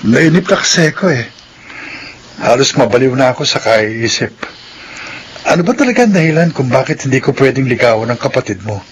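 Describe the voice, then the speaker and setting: A man's voice: medium pitch, lively intonation, a little fast, a little hiss or hum, close to an everyday voice. A man acting a lovesick, impatient suitor. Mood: frustrated. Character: lovesick, impatient male suitor, earnest and anguished. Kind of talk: radio drama dialogue.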